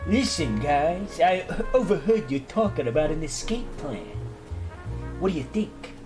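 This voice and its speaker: Man doing a slow-talking mobster-like muscle dog. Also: on the high side, lively intonation. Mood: easygoing. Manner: slow, casual mafia-style swagger. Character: big muscular male dog, laid-back mobster-like tough guy. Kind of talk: improvised storytelling character voice. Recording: voice under prominent music.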